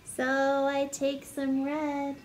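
A woman in her thirties hosts a story time for young children. Her voice sits a little high and she takes her time, shaping phrases in an expressive, sing-song teacher's way. It is a home recording with some noise around the voice. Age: 30s. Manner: slow, deliberate, animated teacher delivery addressing children. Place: living room at home, indoors.

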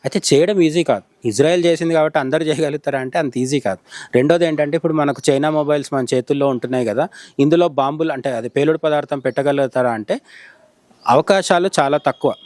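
Middle-aged male journalist, Telangana accent, rapid explanatory analysis, dry close sound. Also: on the high side, a little mellow, no room sound. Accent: Telangana Telugu. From India. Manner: explanatory, poses questions and answers them. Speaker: journalist interviewed as commentator. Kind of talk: conversational news analysis.

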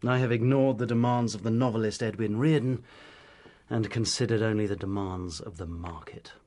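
An actor playing a weary, bitter English novelist in a period radio drama, speaking formally and with irony. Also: male voice, fairly low pitch, moderate pace, darkish tone, natural voice. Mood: proud, tense. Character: struggling, weary, bitter male novelist and husband.

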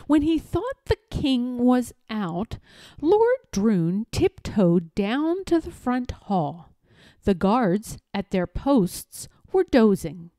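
Slow, animated woman reading a storybook. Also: mid-range voice, no echo. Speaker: read-aloud storyteller. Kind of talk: expressive children's storybook narration.

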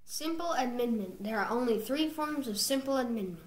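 A woman reading procedural rules from slides in a measured, scripted narration. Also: on the high side, lively intonation, poor audio.

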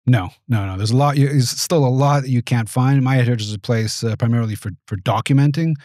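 A man in his forties who grew up in the United States answers interview questions in a thoughtful, measured way, often pausing to restart his phrasing. His mid-range voice is captured dry and close in a small studio. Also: medium pitch, darkish tone, no room sound. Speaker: guest, venture capitalist. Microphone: studio mic on boom arm.

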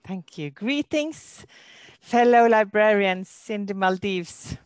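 A middle-aged Norwegian woman, a library director, giving a webinar talk in careful, slightly halting English with a Norwegian accent. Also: mid-range voice, clear, resonant tone, no echo. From Norway. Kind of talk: webinar presentation. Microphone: computer mic on a video call.

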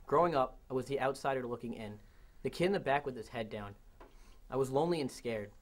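Teen guy talking fast n animated, lots of likes, school library. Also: mid-range voice. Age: teens. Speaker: student. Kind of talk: casual interview then recited poem.

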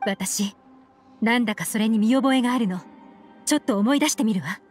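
A woman's voice: fairly high pitch, a little fast, lightly acted. A woman playing a cool, confident, shrewd female operative in an anime game, poised and teasing, over background music. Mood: calm, thoughtful. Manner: poised, teasing, feminine phrasing.

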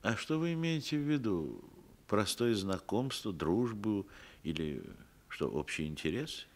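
An actor playing a wily, haughty Sicilian mafia boss in a 1960s radio drama, deliberate, evasive and sardonic. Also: male voice, fairly low pitch, very animated. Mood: guarded, sardonic.

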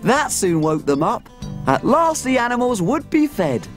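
A woman's voice: on the high side, very animated, unhurried, faint music behind. An actress playing a tiny, cheerful female cook who tells stories in a bubbly, sing-song children's TV manner. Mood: cheery. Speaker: little cook. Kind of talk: children's TV acting.

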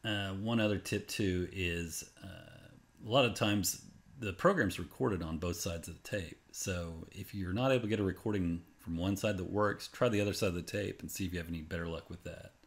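Male tutorial narrator, low voice, informal and unscripted, walks through steps plainly. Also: normal speed. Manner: informal, unscripted, step-by-step explaining. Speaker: tutorial presenter. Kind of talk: casual screen-recorded how-to narration. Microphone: voice-over on a screen capture.

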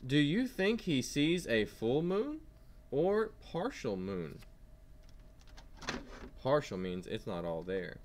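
A man teaching kindergarteners with an animated read-aloud, asking simple questions as he goes. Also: mid-range voice, slow, pauses often, a little hiss or hum. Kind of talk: read-aloud lesson for young children.

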